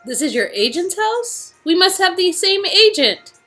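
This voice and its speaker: A woman's voice: very high voice, sing-song, a little slow, bright, forceful tone, faint music behind, voice pushed up, full character voice. A woman voicing a sassy young female newcomer in a romance game, playful, teasing and casual. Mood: friendly, curious. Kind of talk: amateur dialogue reading of a romance game.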